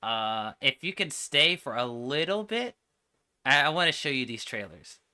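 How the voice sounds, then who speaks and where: Bright-voiced man streaming casual reactions. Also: mid-range voice, big pitch swings, normal speed, clean tone. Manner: informal, slangy, enthusiastic. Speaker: streamer reacting to game trailers. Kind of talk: casual livestream commentary.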